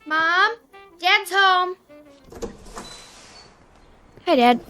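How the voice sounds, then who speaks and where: An actress in a radio drama playing an earnest, caring teenage daughter who questions anxiously. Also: female voice, very high voice, lively intonation, slow pace, bright, forceful tone, faint music behind, exaggerated voice. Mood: bright, playful. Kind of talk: naturalistic radio drama acting.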